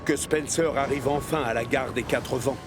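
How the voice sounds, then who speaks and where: A man's voice: medium pitch, steady tone, normal speed. A man narrating a scripted children's story. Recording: music behind the voice. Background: cartoon music.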